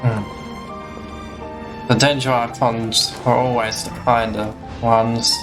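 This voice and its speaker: A man's voice: mid-range voice, level tone, slow pace. Male gamer, mid-range voice, casual musing commentary, game music underneath. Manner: offhand, musing reactions to the game. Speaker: gaming streamer.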